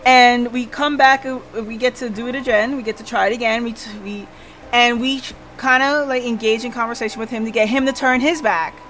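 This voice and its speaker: A woman's voice: on the high side, fairly even intonation, brisk, a bit bright. A woman livestreamer casually recapping a game, thinking aloud and checking in with listeners, with music behind her. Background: background music.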